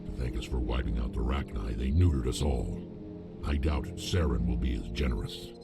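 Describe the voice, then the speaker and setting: A man playing a gruff, distrustful, embittered alien mercenary warrior in a video game, blunt and weighty, with a gravelly rumble. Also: normal speed. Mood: bitter, resolute. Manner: blunt, forceful, weighty delivery. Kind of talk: dramatic video game acting. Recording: loud game music behind.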